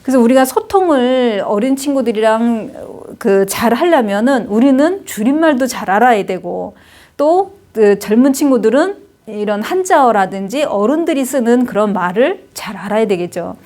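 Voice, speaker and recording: A woman's voice: a little high, lively intonation, moderate pace, mellow. A middle-aged woman giving a casual spoken lecture on Chinese characters, chatty, with many fillers and tag questions. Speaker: Hanja lecturer.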